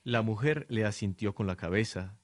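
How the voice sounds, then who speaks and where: A man's voice: on the low side, moderate pace, dry recording. A man reading scripted narration for a radio drama in a dramatic storytelling manner.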